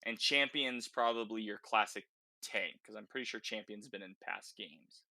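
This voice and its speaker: Bright-voiced man chatting casually on a podcast. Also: fairly low pitch, normal speed, no echo. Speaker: podcast co-host presenting game details. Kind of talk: casual podcast discussion of a video game.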